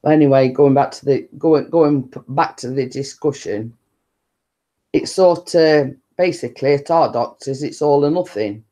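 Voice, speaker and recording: A woman with a deep-toned voice, chatting casually and rambling to her viewers on a live stream. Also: normal speed, dark tone. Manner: chatty, meandering, repeats stock phrases and laughs often.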